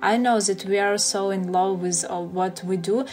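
A woman's voice: mid-range voice, steady tone, moderate pace, a little mellow, soft background music. A woman with a Ukrainian accent, a design brand co-founder, speaking enthusiastically and sometimes searching for words. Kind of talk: interview.

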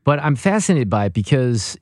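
Male radio host, animated teasing storyteller, very expressive pitch, dry booth mic. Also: brisk, dry recording. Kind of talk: casual on-air chat.

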